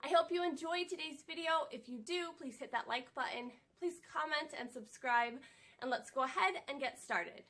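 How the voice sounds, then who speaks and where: A woman's voice: high-pitched, normal speed, sharp tone. Woman with a head cold. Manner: friendly, chatty and upbeat. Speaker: home decor vlogger. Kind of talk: casual how-to narration.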